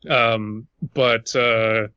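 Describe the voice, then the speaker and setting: A man co-hosts a casual podcast discussion in a very bright, forceful voice. The sound is dry and close, with little room around it. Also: mid-range voice, level tone, very slow, clean tone, no echo, muffled recording. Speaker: podcast co-host.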